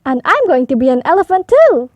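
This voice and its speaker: A woman's voice: high voice, a little fast, no room sound. A woman voicing an eager, boastful, determined little boy hippo in a storybook. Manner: excitable, boastful.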